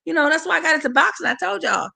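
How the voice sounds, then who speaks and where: A woman from San Francisco with a hoarse voice, a boxer speaking candidly and passionately as a guest on a livestreamed interview over a video call. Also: high-pitched, lively intonation, rapid-fire, sharp tone. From San Francisco, USA. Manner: candid, passionate, talks straight to viewers.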